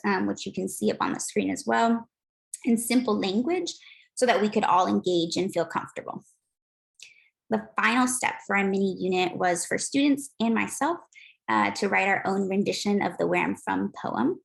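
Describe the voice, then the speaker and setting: A woman's voice: medium pitch, normal speed, gravelly, low-quality recording. A woman teacher giving a prepared talk about her class, earnest and sprinkled with ums.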